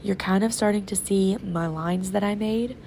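A woman's voice: medium pitch, normal speed, dark tone, slight background noise. A woman art teacher, sick and stuffed up, patiently talking young kids through a painting demo as she works. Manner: patient, instructive, talks while working. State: sick, congested and sore-throated. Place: classroom table. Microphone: off-camera voice over an overhead tabletop camera.

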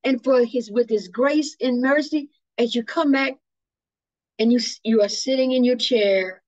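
A woman leading a guided imagery meditation in a slow, soothing, devotional manner over a video call. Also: a little high, a little mellow, no room sound. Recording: muffled, dry video call audio.

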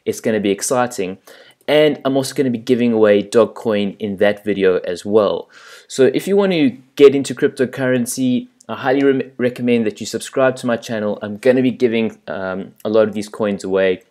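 A South African man talks his viewers through a screen recording in a loose, chatty way, with plenty of ums and restarts. His voice sits at an ordinary middle pitch. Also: a little fast, darkish tone. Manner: chatty, self-deprecating, with fillers and restarts. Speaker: channel host. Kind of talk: casual screen-recording walkthrough.

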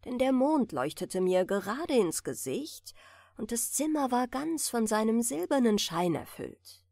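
A woman narrator softly reading a bedtime story in a gentle, soothing manner. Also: on the high side, big pitch swings, no room sound, clean studio sound. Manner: gentle, soothing, unhurried delivery. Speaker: audiobook narrator.

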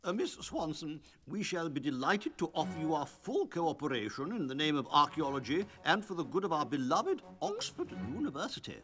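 An older, pompous cartoon university head. His high, expressive voice delivers solemn formal pronouncements over clear background music. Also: brisk, hardly any pauses. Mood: formal concern. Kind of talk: cartoon character acting.

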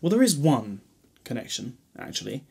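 A man's voice: medium pitch, big pitch swings, moderate pace, creaky, darkish tone, husky, full character voice. A man playing a chatty, eccentric time-travelling alien in an audio drama, whimsical and breezily distracted. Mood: chatty, breezy. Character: eccentric, chatty, newly regenerated male Time Lord.

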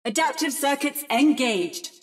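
Female game robot, high voice, slow pace, precise, theatrical, adaptive mimic of heroes. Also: expressive, faint music behind. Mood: calm, synthetic. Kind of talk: video game voice lines.

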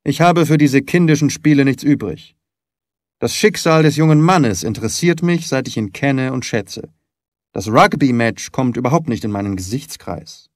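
A man's voice: mid-range voice, expressive, moderate pace, no echo, studio quality, close to an everyday voice. A man voicing a stern, indignant doctor in an audiobook, brusque and haughty in manner. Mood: curt. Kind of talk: audiobook character acting.

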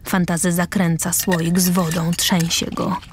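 A woman's voice: lowish voice, flat delivery, measured pace, noisy background. A woman reading stage directions as the narrator of a scripted radio play.

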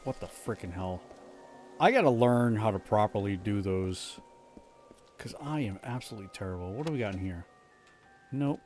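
Low-voiced man streaming a game. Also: lively intonation, moderate pace, long pauses, darkish tone. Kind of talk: casual live gameplay commentary. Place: indoor room, streaming webcam setup. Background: game music.

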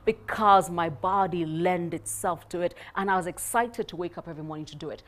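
A woman's voice: on the low side, a little fast. Middle-aged woman from Kenya, guest speaker on a panel, emphatic and punchy delivery. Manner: emphatic, punchy, animated gestures. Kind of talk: motivational panel talk. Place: studio set.

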